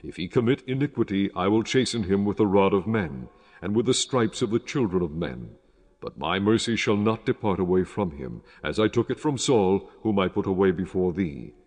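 An actor playing the solemn, commanding voice of God in a dramatized Bible reading, stately and authoritative. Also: male voice, sits low, expressive, moderate pace, a little mellow. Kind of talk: dramatized Bible audio acting.

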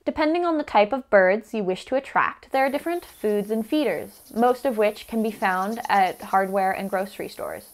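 A young woman giving scripted instructional narration in a measured, carefully enunciated way. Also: mid-range voice. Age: young adult. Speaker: presenter of a beginner's birdwatching guide.

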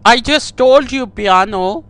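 A man making a comedic prank phone call, talking in a halting, coy, over-affectionate way. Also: very high voice, fairly bright tone, clear, resonant tone. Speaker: prank caller.

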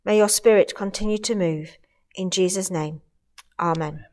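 A middle-aged woman leading a solemn prayer in church, speaking in measured, reverent phrases. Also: mid-range voice, a little mellow. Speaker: Salvation Army officer leading worship. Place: inside a church hall.

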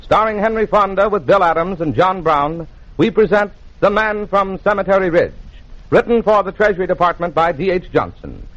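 A man's voice: high voice, lively intonation, normal speed, a bit bright. A man announcing and narrating a 1940s radio program with formal, dramatic, scripted delivery. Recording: muffled, noisy 1940s radio transcription.

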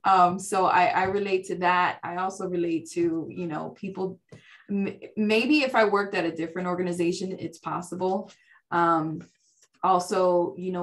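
A woman who works at a nonprofit speaking casually on a panel over a video call, self-deprecating and quick to laugh. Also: fairly low pitch. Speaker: nonprofit development staff, panelist. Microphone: video call webcam.

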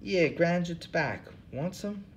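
Guy doing a tired mopey drifter, voice pushed up high, flat and sorry for himself. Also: normal speed, slight background noise, lightly acted. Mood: weary. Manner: listless, self-pitying, raised pitch. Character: weary genteel male drifter, listless and mournful.

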